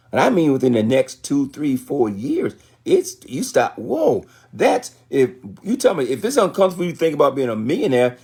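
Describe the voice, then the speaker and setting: A man's voice: mid-range voice, gravelly, a little mellow, slightly rough. An American man in his sixties giving a motivational livestream talk in a folksy, colloquial way, repeating phrases for emphasis. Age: 60s. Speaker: motivational business speaker.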